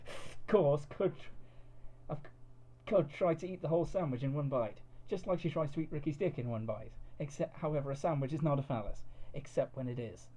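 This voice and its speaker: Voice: medium pitch, brisk, mellow. A narrator giving a mock-serious dramatic reading of fan fiction.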